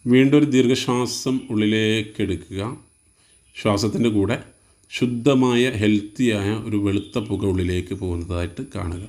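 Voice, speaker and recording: Meditation guide: Malayali man, lowish pitch, very soft dark tone, slow soothing instructions, spaced phrases.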